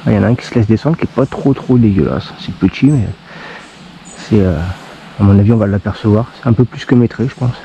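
A man's voice: fairly low pitch, fast, poor audio. A French man filming his own fishing, giving casual running commentary to himself outdoors by a river. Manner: muttering to himself, bursts of excited swearing. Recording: outdoor riverbank with loud water noise.

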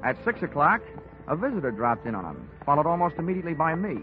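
An American actor playing a wry, sardonic, hard-boiled insurance investigator in a 1940s radio drama. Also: male voice, a little high, sing-song, quick pace. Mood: wry narration. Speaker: lead actor and narrator. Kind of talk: 1940s radio detective acting. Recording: tinny vintage 1940s radio recording, music.

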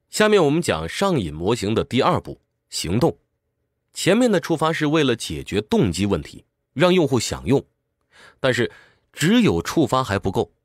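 Man narrating with very animated intonation. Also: mid-range voice, slightly rough, no echo, clean studio sound. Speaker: audiobook summary narrator. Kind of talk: scripted explanatory narration.

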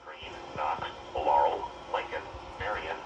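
A man reading a formal, measured weather service announcement, heard through radio speakers. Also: very deep voice, dull audio. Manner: measured, impersonal. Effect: played through weather radio speakers. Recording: radio speakers picked up by phone, very noisy.

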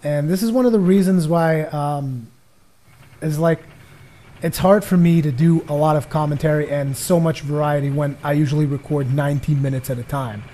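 Chatty Portuguese gamer, voice tiring. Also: male voice, on the high side, normal speed, mellow, slight background noise. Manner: chatty, jokey, rambling. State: voice tired and going hoarse. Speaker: gaming commentator. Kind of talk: casual let's play commentary.

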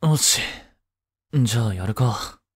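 A young man playing a blunt, teasing but caring studious high-school boy in an intimate audio drama, speaking casually. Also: expressive, slow, breathy edge, no room sound, clean studio sound. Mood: casual, curt. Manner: casual, curt, softly teasing. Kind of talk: intimate Japanese boyfriend-roleplay audio drama.

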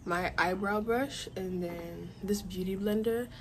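A woman's voice: medium pitch, unhurried, slight background noise, rough recording. A young woman in her teens chatting casually to her phone camera in her bedroom, rambling with lots of "like" as she talks through her plans. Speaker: vlogger. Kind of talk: casual vlog chat to camera. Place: bedroom at home, indoors. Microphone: phone camera at arm's length.

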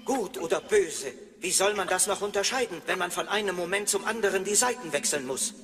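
A prim, indignant translator droid in a sci-fi radio play. The male voice sits high and speaks formally and precisely, in a long reverb over prominent music. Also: a little fast, fairly bright tone. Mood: flustered, indignant. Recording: reverberant studio drama with music.

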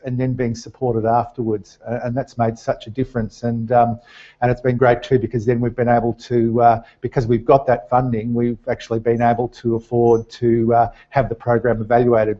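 Hesitant man presenting, flat delivery. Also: medium pitch. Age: middle-aged. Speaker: seminar presenter introducing a speaker. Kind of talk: semi-formal live presentation. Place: small indoor seminar room. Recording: dull lo-fi seminar webcast sound.

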